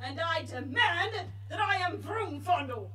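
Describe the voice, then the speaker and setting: A woman's voice: extremely high pitch, animated, measured pace, fairly bright tone, exaggerated voice. A woman playing a strident, muddled philosopher in a comic radio drama, declaring absurd demands with great emphasis. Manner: emphatic, self-contradicting declarations.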